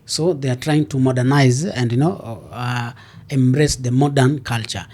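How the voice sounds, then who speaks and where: A man's voice: mid-range voice, normal speed. Kenyan man hosting, murmuring agreement. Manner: steady stream of affirming "mm-hmm" and "yeah". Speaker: podcast host. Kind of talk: interview conversation.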